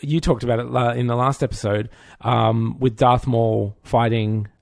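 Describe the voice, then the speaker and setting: A man's voice: mid-range voice, steady tone, normal speed, vocal fry, no echo, studio quality. A man co-hosting a podcast, chatting informally in an opinionated, geeky, self-mocking way. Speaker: podcast co-host. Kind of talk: casual pop-culture chat.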